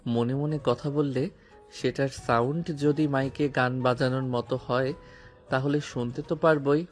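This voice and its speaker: A man's voice: mid-range voice, steady tone, soft background music, natural voice. Commanding young policeman husband, played by a man. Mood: teasing, smug. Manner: deliberate, domineering, drops in English phrases. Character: commanding, smooth-talking young policeman husband, possessive and teasing. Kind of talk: audio romance story acting.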